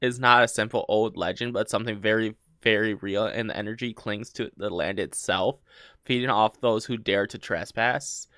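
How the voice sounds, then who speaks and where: A man's voice: lowish voice, fairly even intonation, moderate pace, bright, forceful tone, no echo, clean studio sound. A young man co-hosting a casual true-crime podcast, reading the case aloud with frequent restarts and chuckles.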